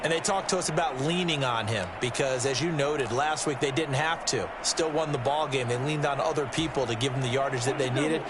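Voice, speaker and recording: A man's voice: medium pitch, fairly quick, few pauses, noisy background. A man with an American accent giving emphatic, explanatory color analysis in football commentary. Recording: loud music and game sound behind.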